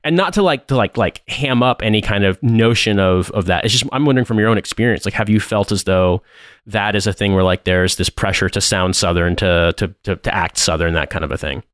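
A man's voice: fairly low pitch, a little fast, fairly bright tone, dry recording, studio quality. A man from the American Midwest with a general American accent, co-hosting a podcast in casual, rambling chat full of restarts. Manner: rambling, restarts often, says "like" a lot. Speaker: podcast co-host.

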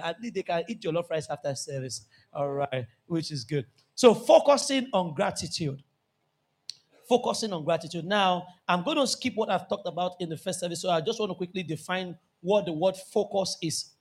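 A Nigerian man preaching a lively church sermon, repeating key phrases and joking with the congregation. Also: sits high. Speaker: pastor.

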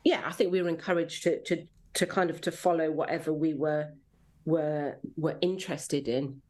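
A woman with a low voice speaks as an interview guest. She has a stammer and talks reflectively, haltingly, often repeating a phrase as she goes. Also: fairly even intonation, darkish tone. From rural Devon, England. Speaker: podcast guest, drama commissioning editor. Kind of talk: reflective casual interview answers.

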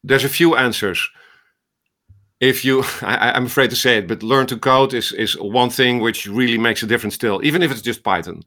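A man's voice: medium pitch, normal speed, pushed and bright. A middle-aged man with a Dutch accent, giving long, thoughtful answers as an interview guest with small restarts. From Netherlands. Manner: talkative, explains at length with small restarts. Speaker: guest, ophthalmologist and AI researcher.

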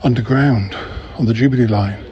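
A male podcast host with a croaky voice, chatting casually to listeners while waiting for a train. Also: medium pitch, moderate pace, mellow. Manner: chatty, rambling asides. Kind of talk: casual vlog-style update to listeners. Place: underground train platform. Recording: muffled recording in a busy station.

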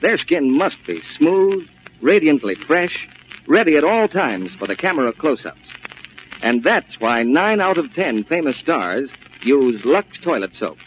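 A man delivers scripted radio copy in a polished, persuasive 1940s announcing style. His pitch is very lively. The recording is dull, with a faint hiss. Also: unhurried. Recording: muffled, hissy vintage radio recording.

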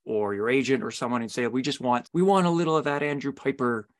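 A man's voice: mid-range voice, quick pace, no echo, clean studio sound. A man speaking as the host of a podcast about writers and books. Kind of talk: scripted podcast intro and interview chat.

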